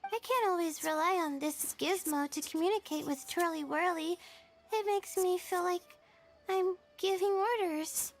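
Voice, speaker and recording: A woman's voice: very high-pitched, normal speed, faint music behind. A woman playing an earnest, sincere young girl with a girlish voice and hesitant manner in an anime fantasy game. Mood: curious, earnest.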